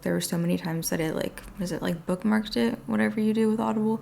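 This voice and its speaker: Solo female podcast host, casual rambler, voice tired and raspy with heavy vocal fry. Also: on the low side, a little mellow. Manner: rambling, filler-heavy talk with frequent "like". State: voice worn and slightly raspy from long use. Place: at home in her new apartment, late at night.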